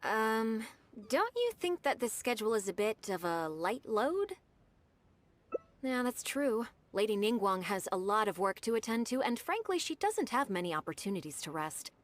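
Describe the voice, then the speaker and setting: A lively, efficient young secretary. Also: female voice, on the high side, normal speed. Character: dutiful young female secretary, polite and efficient. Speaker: game character. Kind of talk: video game voice acting.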